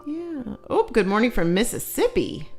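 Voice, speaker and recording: A woman's voice: fairly high pitch, wildly swooping pitch, normal speed, darkish tone, soft background music. A woman co-hosting a live stream, giggling often and reading viewer comments aloud in a casual chat.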